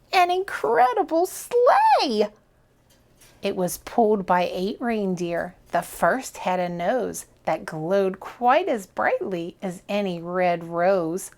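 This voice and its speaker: A woman reading a children's picture book aloud as a storytime reader, with expressive, deliberate, dramatic phrasing. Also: medium pitch.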